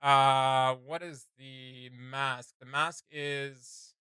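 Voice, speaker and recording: A man's voice: mid-range voice, flat delivery, extremely slow, pushed and bright. A man giving casual reaction commentary as a video host, thinking aloud in informal, slangy language.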